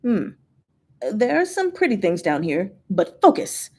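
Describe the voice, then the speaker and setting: A woman voicing a strict ghost who runs gambling den staff, brisk, firm and businesslike, in a storybook reading. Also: medium pitch, very animated, darkish tone, breathy edge, full character voice. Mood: brisk instructing.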